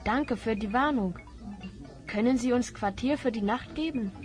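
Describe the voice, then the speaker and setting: A woman's voice: fairly high pitch, moderate pace, darkish tone, soft background music, lightly acted. A woman playing a brave, composed young hero in a fantasy audio drama. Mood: calm, resolute. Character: brave, composed young red-haired hero with magic staff.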